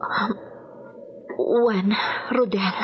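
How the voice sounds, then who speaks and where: A woman's voice: medium pitch, steady tone, drawn-out words, lo-fi sound, a little hiss or hum. A woman playing a grateful, devoted, vulnerable heroine in an earnest, submissive manner for a melodramatic radio drama. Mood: grateful, solemn. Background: background music.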